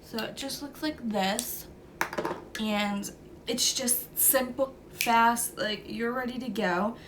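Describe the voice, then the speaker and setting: A woman's voice: a little high, slow pace, noisy background. A young woman with a stuffy nose from a cold, talking through a makeup tutorial step by step in an easygoing way. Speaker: beauty vlogger.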